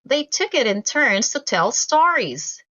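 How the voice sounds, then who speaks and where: A woman reading a children's story aloud from a script, in an expressive, deliberate way for young listeners. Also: high-pitched, lo-fi sound. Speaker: storybook reader.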